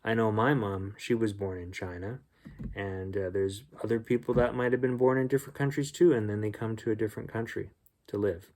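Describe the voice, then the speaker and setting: A man with a soft-edged, mellow tone reads a bedtime story for children. His delivery is gentle and unpolished, moving between storybook reading and informal talk to his young listeners. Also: lowish voice, fairly even intonation, fairly quick. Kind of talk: storybook read-aloud with casual asides.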